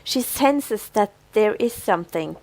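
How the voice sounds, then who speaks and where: A woman's voice: mid-range voice, animated, a little slow, a little mellow, dry recording, low-quality recording. A woman co-hosting a podcast, chatting casually about a TV episode in hesitant, trailing phrases. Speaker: podcast co-host. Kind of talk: casual TV recap chat.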